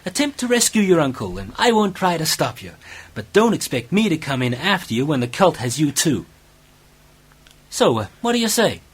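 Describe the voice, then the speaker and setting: Husky woman acting a cautious, secretive investigator. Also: sits low, sing-song, normal speed, exaggerated voice. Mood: explaining, persuasive. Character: cautious, secretive female investigator spying on a cult. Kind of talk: amateur radio play acting.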